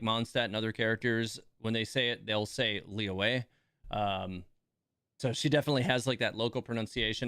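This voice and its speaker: A man's voice: medium pitch, a bit bright, smooth, no room sound, clean studio sound. A man giving casual, chatty game commentary as a streamer, talking to viewers and repeating words for emphasis.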